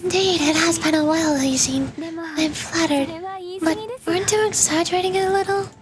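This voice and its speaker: Woman reading a composed young secretary, high voice, polite, earnest, game music behind. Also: steady tone, a little fast. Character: calm, dutiful young female secretary.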